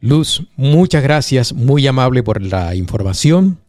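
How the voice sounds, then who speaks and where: Male morning-show host, courteous, keeps the guest on track, with soft music under him. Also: medium pitch, sing-song, measured pace. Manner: polite, asks clarifying questions. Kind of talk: interviewing a guest.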